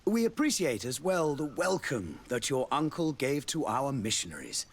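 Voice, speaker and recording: Theocratic ambassador, fantasy game. Courtly and ornate, husky voice, very varied intonation. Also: male voice, medium pitch, big pitch swings, normal speed. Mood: smooth, assured. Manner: formal, florid, diplomatic. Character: courtly, devout male ambassador of a theocratic nation. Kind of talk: scripted fantasy video game dialogue.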